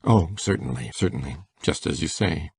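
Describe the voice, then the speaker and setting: A man acting a stammering, very deep-voiced shy farmer. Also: expressive, normal speed, creaky, darkish tone, breathy edge, exaggerated voice. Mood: miserable, feeble. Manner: stammering, hesitant. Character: shy, flustered, gentle middle-aged country bachelor farmer. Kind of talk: audiobook dramatic reading.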